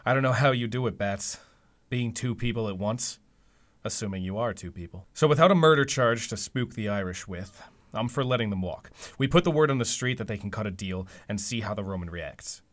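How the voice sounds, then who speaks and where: A man's voice: lowish voice, lively intonation, a little fast, lightly acted. A man playing a cynical district attorney in a radio drama, sardonic and confident. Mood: wry, cynical. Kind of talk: fan radio drama acting.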